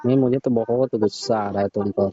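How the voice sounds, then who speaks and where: A man's voice: lowish voice, fairly even intonation, brisk, dark tone, husky. A man playing a pushy, leering coaching teacher, needling and insisting in casual conversation. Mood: sulky, insistent. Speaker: performer in a comic prank-style phone call skit. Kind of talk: casual phone conversation skit. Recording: muffled lo-fi phone call recording.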